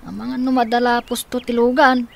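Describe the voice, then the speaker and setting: A woman's voice: on the high side, level tone, brisk, vocal fry, slight background noise. A woman playing a responsible young boy, a protective older brother, in a radio drama, speaking earnestly. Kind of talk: Ilocano radio drama acting.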